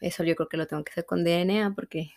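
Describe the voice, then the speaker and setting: A woman with a Mexican accent, speaking on the low side of her range. As an interview guest she tells her story with reflection and animation, mixing personal memories with her views. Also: fairly even intonation, fast, gravelly, darkish tone. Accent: Mexican Spanish. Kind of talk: conversational interview.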